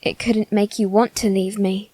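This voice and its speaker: A woman voicing a melancholy teenage noble boy, resigned to death, in a soft, deliberate ASMR roleplay monologue with dramatic pauses. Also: medium pitch, flat delivery, no echo, natural voice. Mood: bitter, tender.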